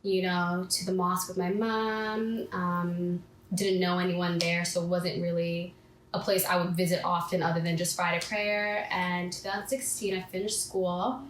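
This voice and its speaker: A woman's voice: fairly low pitch, little pitch movement, moderate pace. Chatty young woman in an echoey room. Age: 20s. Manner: chatty, acts out her own past self-talk. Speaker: podcast guest. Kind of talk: casual personal storytelling. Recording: echoey room with faint background hiss.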